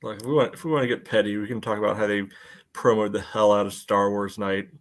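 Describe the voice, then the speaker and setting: A man's voice: on the low side, steady tone, quick pace. A man co-hosting a podcast in casual sports chat, often saying "you know" as he goes. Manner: peppers speech with "you know". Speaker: podcast co-host.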